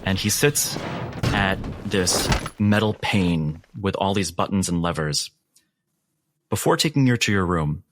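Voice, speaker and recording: A man narrating a horror tabletop roleplay as game master, in a measured, suspenseful way with deliberate pauses. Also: deep voice, background noise. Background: background music.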